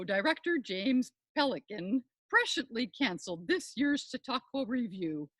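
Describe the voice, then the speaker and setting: An older woman performing a scripted comic monologue as a pompous professor and podcast host, with florid, deliberate academic delivery. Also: a little high, a bit bright, dry recording, low-quality recording.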